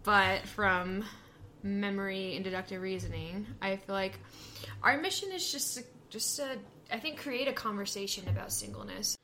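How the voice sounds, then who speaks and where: A woman's voice: mid-range voice, normal speed, sharp tone, a little hiss or hum. Wry young woman, dry humor. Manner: dry, self-deprecating humor with frequent fillers. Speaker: podcast co-host. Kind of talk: casual chat.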